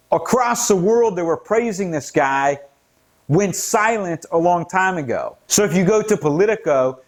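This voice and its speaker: An American man hosting his own commentary channel, talking earnestly and growing indignant as he lays out a case. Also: high voice. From United States. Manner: earnest, indignant, rising emphasis at key points. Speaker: political commentary channel host. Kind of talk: scripted-sounding solo commentary.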